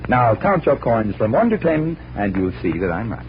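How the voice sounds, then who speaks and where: Actor as animated old-time radio magician detective. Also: male voice, medium pitch, normal speed, natural voice. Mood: playful showmanship. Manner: instructive, theatrical showman. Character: confident, genial male magician detective explaining tricks. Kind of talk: 1940s radio acting. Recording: dull, noisy vintage radio recording.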